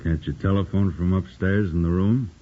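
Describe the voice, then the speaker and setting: An actor playing a blunt, menacing, suspicious party heavy with a husky voice, in 1950s radio drama on a dull old recording. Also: male voice, sits low, fairly even intonation, moderate pace, a little hiss or hum, lightly acted. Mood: suspicious, needling.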